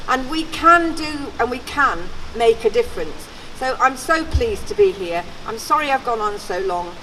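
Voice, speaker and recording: A woman's voice: a little high, fairly bright tone. Older woman speaking at a noisy rally. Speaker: campaigner giving a speech. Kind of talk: informal public rally speech. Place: outdoors at a public gathering. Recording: distant outdoor camera, background noise.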